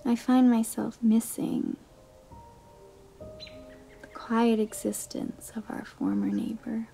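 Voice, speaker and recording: A woman's voice: fairly high pitch, flat delivery, slow pace, gravelly, mellow. A young woman reading a scripted first-person short story as a narrator. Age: young adult. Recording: music behind the voice.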